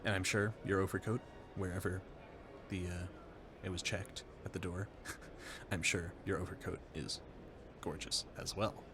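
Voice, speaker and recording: Man acting a flustered, low-voiced romantic gentleman. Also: steady tone, measured pace, noisy background. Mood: admiring, flustered.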